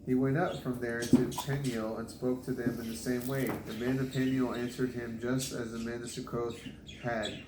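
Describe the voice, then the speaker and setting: A man reading scripture aloud in a halting way, stumbling over difficult names. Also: medium pitch, little pitch movement. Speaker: scripture reader. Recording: echoey room with background noise.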